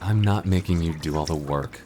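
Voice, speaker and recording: A man playing a teasing, laid-back young vampire coworker on a store night shift, talking casually and a little haltingly in an intimate ASMR roleplay. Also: deep voice, noisy background, close to an everyday voice. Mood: sincere, friendly. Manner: hesitant, stammering, dryly teasing.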